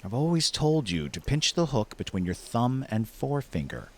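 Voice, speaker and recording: A man's voice: medium pitch, big pitch swings, normal speed, natural voice. A man playing a dry, good-natured older man who teases in a plain-spoken way, in a naturalistic drama. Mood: dry, teasing. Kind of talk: naturalistic short film drama acting.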